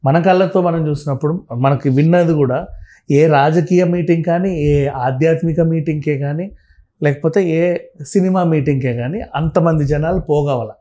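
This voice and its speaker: A man's voice: on the high side, moderate pace, warm tone. A man giving opinionated, conversational commentary as a guest, emphatic and repeating phrases, mixing in English words. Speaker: film and political commentator, interview guest.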